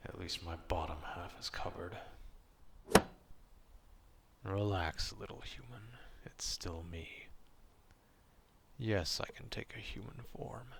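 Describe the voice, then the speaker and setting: A man acting out a gruff, proud, distrustful werewolf in an ASMR roleplay, stern and curt but grudgingly caring, in a gravelly half-whisper. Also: deep voice, a bit bright, a little hiss or hum, heavily acted. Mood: gruff, instructing.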